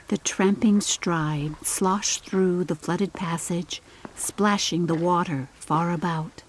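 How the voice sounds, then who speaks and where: A woman's voice: on the low side, a little mellow, a little hiss or hum, rough recording. A woman reading a scripted, dramatic story as an audiobook narrator.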